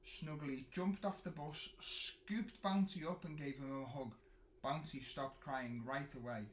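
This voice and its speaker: A man reading a picture book to children in an expressive storytelling style, with dramatic pauses and drawn-out words. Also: a little high. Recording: roomy echo, clear background noise.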